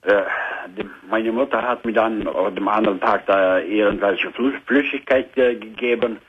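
A man in his fifties with a Dutch accent, calling a radio show and searching haltingly for German words. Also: on the low side, breathy edge. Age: 50s. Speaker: caller. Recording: tinny phone line.

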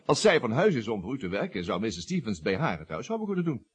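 A man's voice: lowish voice, wildly swooping pitch, fast, slightly rough, heavily acted. A man acting in a radio comedy as an eager young office schemer, persuasive and stammering when flustered. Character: eager fast-talking young male office schemer, nervy suitor.

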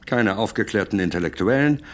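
Guy playing a dry secret service man reading out files, lowish voice, audio drama. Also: expressive, brisk, natural voice.

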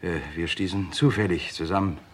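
A man's voice: low-pitched, fairly even intonation, moderate pace. An actor playing a polite, wry, talkative crime writer who narrates his own case in a vintage German radio play. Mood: polite, eager. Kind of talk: 1950s-80s German radio crime drama acting.

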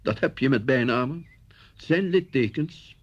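A man's voice: medium pitch, animated, a little slow. An actor playing a chatty, complacent older schoolteacher in a radio drama, musing and knowing as he reminisces. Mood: musing, amused. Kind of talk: naturalistic radio drama acting. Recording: muffled, dull radio play recording.

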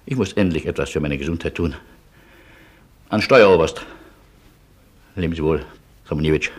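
An actor playing a wry, world-weary refugee in a German radio play, with theatrical irony. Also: male voice, a bass voice, normal speed, breathy edge. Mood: wry, resolute. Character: wry, world-weary male refugee, witty and self-sacrificing.

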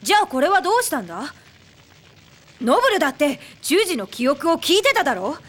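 A woman's voice: sits high, sing-song, brisk. A woman voicing an earnest, friendly young boy hero in a Japanese anime drama. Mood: earnest, questioning.

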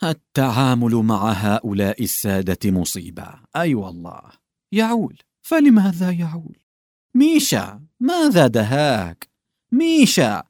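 A man's voice: on the high side, very animated, brisk, darkish tone, no echo, clean studio sound, voice pushed up. A man voicing a tactless, bumbling old male official who fumbles, backtracks and stammers. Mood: exasperated.

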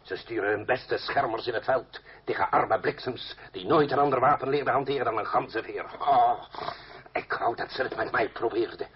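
A blustering, foul-mouthed revolutionary man voiced by a radio actor, mocking and loud, with a mid-pitched voice. The old radio play recording is dull, with clear background noise. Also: normal speed, lightly acted. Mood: angry. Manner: bellowing, swearing, mocking. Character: loud, coarse, blustering male revolutionary firebrand. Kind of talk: radio drama acting.

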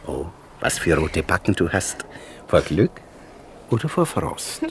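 A man's voice: low-pitched, sing-song, measured pace, slight background noise. An actor playing a poor, tender old porter and father in a 1950s German radio play, with a theatrical, savouring delivery. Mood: delighted, eager. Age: older. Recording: 1950s radio production with music.

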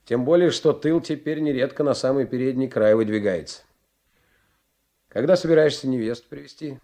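A male actor plays a middle-aged naval commander in a radio drama. His male voice is soft-edged and mellow, at a medium pitch. He is fatherly and persuasive, pausing deliberately as he encourages. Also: lightly acted. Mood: encouraging, persuasive. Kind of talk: radio play acting.

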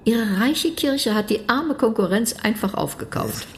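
An actor playing a sarcastic, brisk official, in a radio play. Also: male voice, sits high, big pitch swings, a little hiss or hum, full character voice.

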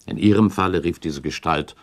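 An actor playing a shrewd, calculating count in a radio drama, speaking in a deliberate, formal and pointed way. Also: male voice, deep voice, close to an everyday voice. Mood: analytical, warning. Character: shrewd, calculating count advising a prince, diplomatic. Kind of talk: German radio drama acting.

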